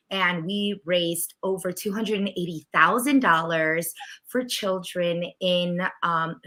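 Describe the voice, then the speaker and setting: A woman with a hoarse, raspy voice that still comes through bright and forceful. She co-hosts a recap livestream and talks to her viewers in a casual, chatty way. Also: fairly low pitch. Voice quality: raspy, strained voice. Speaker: co-host of a reality TV recap show.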